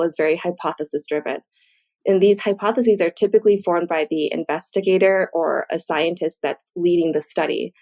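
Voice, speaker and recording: A woman with a somewhat low voice and noticeable creak speaks as a research expert being interviewed. She lays out her explanations in clear, orderly points. Her delivery is that of an academic guest walking through examples. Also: darkish tone.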